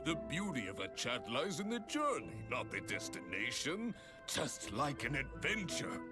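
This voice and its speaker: An actor playing a hearty, long-winded adventurers' guild leader in a video game, grandiose and florid in delivery. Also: male voice, mid-range voice, huge pitch swings, measured pace. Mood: rousing, grandiose. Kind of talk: video game character dialogue. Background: music.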